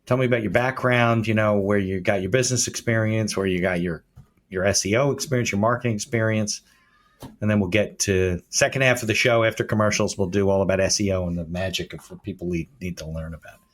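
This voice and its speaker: A man hosting a business podcast, reading a scripted opening and then chatting with a guest in a relaxed, conversational way. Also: lowish voice, fast. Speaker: podcast host, attorney. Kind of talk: scripted intro, then casual interview chat.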